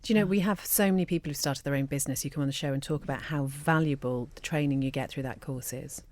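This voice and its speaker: Brisk, mellow female radio host. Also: a bass voice, no room sound. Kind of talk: live talk radio presenting. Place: radio studio.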